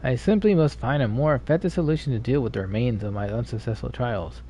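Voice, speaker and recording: A man livestreaming a video game in a casual, low-key way, muttering to himself and reading text aloud. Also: quick pace, warm tone. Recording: dull, slightly noisy stream audio.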